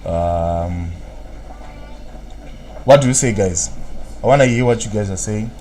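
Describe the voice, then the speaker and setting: A Zimbabwean man with a low voice runs a casual live stream, rambling and trailing off into filler tags as he reads viewers' comments. His voice comes through a computer mic with a faint hiss underneath. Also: a little slow, a little mellow. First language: Shona. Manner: rambling, fills gaps with "what, what"; answers viewers' chat comments. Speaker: trading analyst. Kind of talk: live-stream market analysis.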